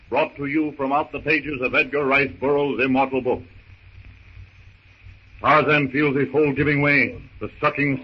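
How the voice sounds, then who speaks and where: A man's voice: on the high side, husky, highs cut off. A man narrating a 1930s radio adventure serial in a theatrical, urgent manner with emphatic short phrases, on an old radio recording.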